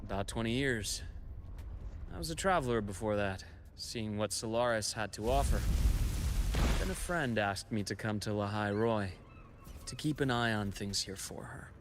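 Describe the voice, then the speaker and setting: A man's voice: on the low side, moderate pace, slight background noise, lightly acted. A man playing a kindly, easygoing middle-aged academy doctor in a video game. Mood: relaxed, fond.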